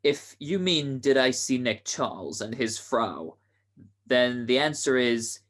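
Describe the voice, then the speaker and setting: A man's voice: mid-range voice, lively intonation, slow, fairly bright tone. A menacing henchman acted out by a man in a 1940s-style amateur radio drama. He takes his time over each line, with sardonic, casual threat. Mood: deferential.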